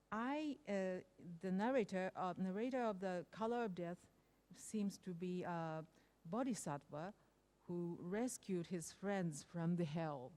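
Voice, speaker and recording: A woman professor reads out her conference paper in a mellow, dark-toned voice. She pauses often, restarting words as she searches for phrasing, and her delivery stays measured and careful. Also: medium pitch. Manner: careful, hesitant, many fillers and restarts. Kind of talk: conference presentation.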